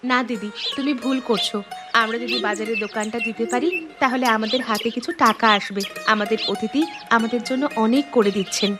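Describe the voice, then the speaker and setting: A woman playing a gentle, earnest village mother in an animated moral story, polite and patient. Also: fairly high pitch, brisk, over loud music, close to an everyday voice.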